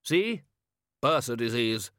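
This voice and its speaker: A bluff, booming old wizard in charge of a university, as a male narrator puts him on. He sounds loud and hearty, and his voice jumps about with plenty of lift. It is recorded close and dry. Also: very animated, slow pace, no echo.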